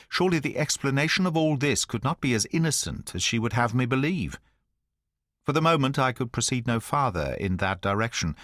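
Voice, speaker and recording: A man narrating an audiobook in the first person, at a measured, even storytelling pace. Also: mid-range voice, no echo, clean studio sound.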